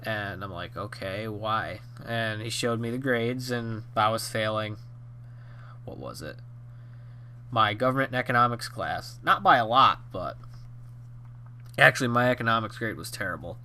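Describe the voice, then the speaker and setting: Rambling young man, bright nasal voice. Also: fairly low pitch, normal speed, a little hiss or hum. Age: 20s. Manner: rambling with many fillers and restarts. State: nasal and hoarse from a cold. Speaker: YouTube storyteller. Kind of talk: rambling casual storytime.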